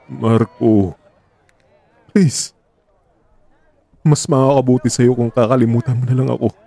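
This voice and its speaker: A man's voice: mid-range voice, steady tone, measured pace, vocal fry, dark tone. A man playing a resigned, apologetic lover who is ailing and pushing his partner away in a radio drama. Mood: resigned, sorrowful. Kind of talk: Tagalog radio drama acting.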